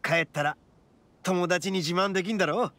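A man playing a brash, big-hearted young oni gang boss in a Japanese anime game, with rough-edged, blunt street-tough slang. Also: sits high, normal speed, a bit bright, slight background noise. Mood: cocky, hearty.

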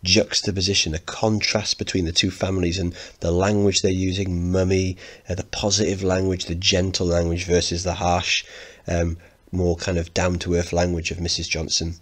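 A man's voice: deep voice, little pitch movement, moderate pace. A man teaching, narrating a play and explaining it in an instructive way.

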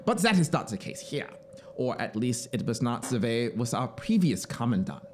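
A man's voice: mid-range voice, sing-song, a little mellow, slight background noise, natural voice. Guy doing a smug young colony officer for a storybook, proud n emphatic, voice swings up and down a lot. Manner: emphatic, deliberate, proudly explanatory. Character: zealous, self-satisfied young male penal colony officer. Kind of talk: dramatised storybook reading.

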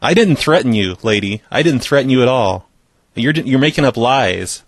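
A man's voice: mid-range voice, lively intonation, normal speed. A man who hosts a prank call show, talking in a casual, informal, needling way with some hesitation. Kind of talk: casual prank calling and chat.

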